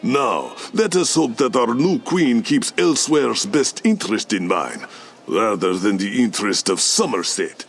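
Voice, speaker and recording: An actor playing a proud, earnest male cat-folk citizen in a fantasy video game, declaiming warily in a gravelly voice. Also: mid-range voice, lively intonation, measured pace, a little hiss or hum. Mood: proud, wary.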